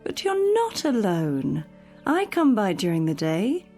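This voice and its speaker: A practical, kindly woman housekeeper in a radio drama. She speaks in a soothing, coaxing way with very lively pitch, over faint music. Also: unhurried, full character voice. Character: kindly, patient, practical female daily housekeeper.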